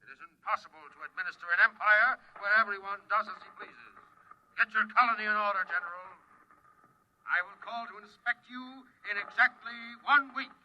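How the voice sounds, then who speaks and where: Casting: imperious Earth inspector, high commanding voice, crackly radio transmission, vintage sci-fi radio drama. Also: male voice, a little fast, pushed and bright. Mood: officious, menacing. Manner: imperious, curt, officious, commanding. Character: domineering, militaristic imperial inspector from Earth, a man. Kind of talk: 1950s radio drama acting. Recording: dull old radio recording, clear static noise.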